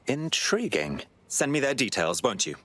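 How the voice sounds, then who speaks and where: A man's voice: medium pitch, very animated, moderate pace, a bit bright, no room sound. An actor playing a determined male resistance operative in a video game, with a dry, understated manner. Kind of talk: scripted video game dialogue.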